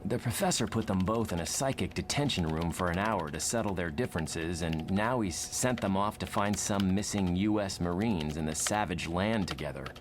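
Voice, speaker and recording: An actor playing a gentle, trusting and articulate young mutant scientist in a motion comic, with music behind. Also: male voice, deep voice, normal speed, hardly any pauses, a little hiss or hum, close to an everyday voice. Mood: earnest. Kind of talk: dramatic motion comic voice acting.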